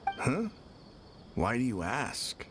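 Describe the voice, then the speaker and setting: Chatty gate guard, lively pitch. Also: male voice, takes it slowly, background noise. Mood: casual, defensive. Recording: loud background music.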